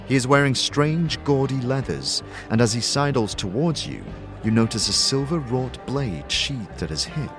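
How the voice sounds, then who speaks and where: A man narrating a scripted second-person fantasy story as game master, in a measured, dramatic storytelling manner. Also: mid-range voice, expressive. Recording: soft music behind the voice.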